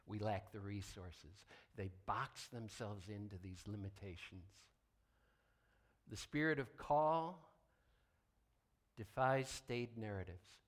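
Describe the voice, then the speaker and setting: A man preaching an informal sermon as a pastor, conversational and folksy with wry asides. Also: fairly low pitch. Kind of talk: informal sermon, partly read from notes.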